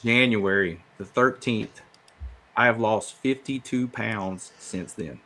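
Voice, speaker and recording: Low-voiced man chatting casually. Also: slow, crowd noise. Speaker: podcast co-host. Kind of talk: casual chat.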